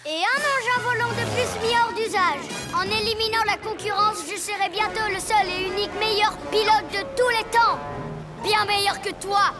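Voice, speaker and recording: A woman's voice: very high-pitched, moderate pace. A woman voicing a boastful child ninja villain in a cartoon, sneering and pompous as the figure mocks others. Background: music.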